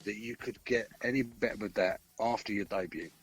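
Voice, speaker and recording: A man with a croaky voice, asking questions as co-host of a casual music radio interview. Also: lowish voice, a little fast, low-quality recording.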